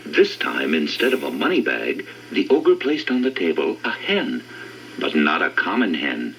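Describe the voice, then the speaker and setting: A man narrating a fairy tale with an expressive, dramatic reading. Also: a bass voice, unhurried, a little hiss or hum. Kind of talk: scripted storybook narration. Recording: dull lo-fi record with clear music.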